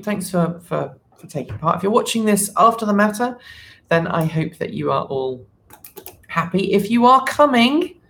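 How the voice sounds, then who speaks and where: Rambling livestream host, mid pitched creaky voice, just chatting casually, thinking out loud. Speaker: channel host. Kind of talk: casual unscripted live chat.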